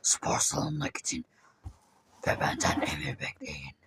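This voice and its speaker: A woman voicing low, hoarse-whispering zombies in a cartoon, secretive and sullen. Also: wildly swooping pitch, moderate pace, a bit bright, heavily acted. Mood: commanding.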